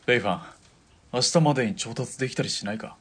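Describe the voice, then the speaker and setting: An actor playing a grumbling, mercenary partner in an assassin duo in a radio drama, with a casual, sardonic way of talking. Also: male voice, mid-range voice, fast, fairly bright tone, slightly rough. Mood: concerned. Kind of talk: Japanese radio drama acting.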